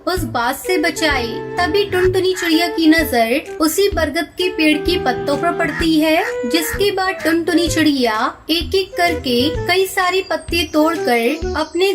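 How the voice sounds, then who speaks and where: A woman's voice: very high voice, unhurried, hardly any pauses, music in the background. A woman narrator reading a scripted moral story for children.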